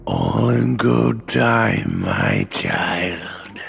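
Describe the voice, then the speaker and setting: Very gravelly, drawn-out plague demon. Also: male voice, lowish voice, huge pitch swings, a bit bright, full character voice. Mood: sly, pleased. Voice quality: gravelly, croaking. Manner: commanding, theatrical, drawn-out delivery. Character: imperious scheming demon of plague and rot. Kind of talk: video game cutscene acting.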